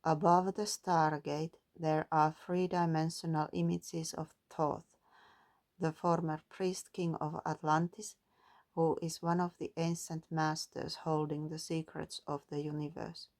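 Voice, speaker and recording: A woman doing a calm, musing spiritual card reading, partly reading guidebook text aloud. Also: low-pitched, a little slow. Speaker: tarot and oracle card reader.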